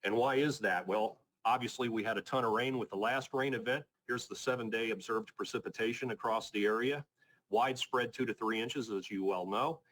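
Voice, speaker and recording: A man presenting an informal technical weather briefing as a forecaster, speaking in a measured, matter-of-fact, plain-spoken way. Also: on the low side, fairly bright tone, rough recording. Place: conference call presentation.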